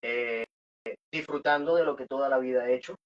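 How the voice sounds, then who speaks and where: A man's voice: medium pitch, a little fast. Maracucho guy from venezuela, band singer chatting in a radio interview, kinda dull audio. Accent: Venezuelan Maracucho Spanish.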